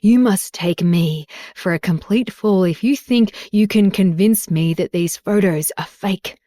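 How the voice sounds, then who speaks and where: A woman voicing an enraged middle-aged father who shouts with contempt. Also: mid-range voice, a little slow, dry recording, studio quality, close to an everyday voice. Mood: furious.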